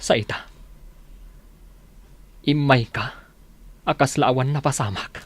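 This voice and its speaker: An actor with an Ilocano accent playing a bitter husband whose wife abandoned him and their baby, in a radio drama. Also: male voice, medium pitch, slow pace, breathy edge. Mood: resentful. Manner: resentful, cold.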